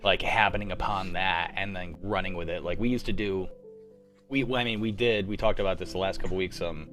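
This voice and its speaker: Man, low voice, rambling and loose, filler-packed casual chat, faint music underneath. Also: fairly even intonation, a little fast, fairly bright tone. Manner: rambling, filler-heavy storytelling. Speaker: radio drama troupe member leading the chat. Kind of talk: casual group chat on a livestream.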